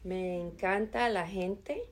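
A middle-aged woman from Chicago, answering interview questions calmly and earnestly, with hesitant fillers. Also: medium pitch, slow pace. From Chicago, United States. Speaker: interviewee, nonprofit administrative assistant.

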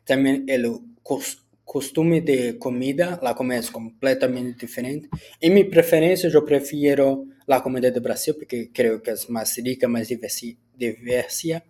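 A man from Brazil, a student whose first language is Portuguese, speaks Spanish with a Brazilian Portuguese accent as he answers set questions for a school assignment, often restarting words and filling pauses with "ah". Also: mid-range voice, mellow. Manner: halting, with frequent restarts and filler "ah".

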